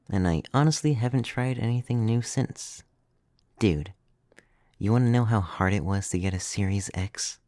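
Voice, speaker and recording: Guy doing a flirty vampire femboy asmr, mellow gravelly soft voice, whispery, super dry and close. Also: on the low side, no echo. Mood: casual, chatty. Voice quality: soft, breathy, often dropping to a whisper. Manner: intimate, teasing, reassuring. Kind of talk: ASMR roleplay. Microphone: close microphone, dry.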